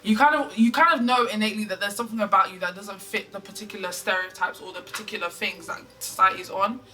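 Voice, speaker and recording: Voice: medium pitch, fast talker, pushed and bright, soft background music. A non-binary person in their twenties speaking thoughtfully and articulately in a documentary interview. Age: 20s. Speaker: interviewee, activist. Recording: distant mic.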